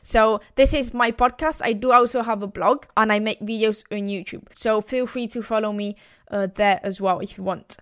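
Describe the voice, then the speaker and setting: A woman's voice: a little high, steady tone, moderate pace, clean tone. Chatty Italian woman hosting a podcast. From Italy. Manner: chatty, enthusiastic, laughs between thoughts. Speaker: podcast host. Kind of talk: casual podcast monologue. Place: home in Wales.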